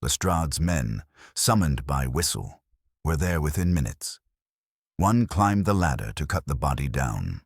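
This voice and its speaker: Very deep male mystery narrator, animated delivery. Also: breathy edge, no room sound, studio quality. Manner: measured, suspenseful storytelling. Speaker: audiobook narrator. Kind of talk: scripted dramatic mystery narration.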